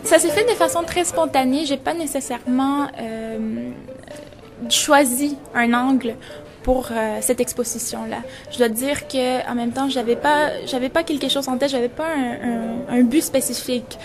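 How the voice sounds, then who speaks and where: A woman's voice: high voice, moderate pace, over music. A woman in her twenties from Venezuela, a photographer and journalism student, speaking reflectively in an interview and searching for her words. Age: 20s.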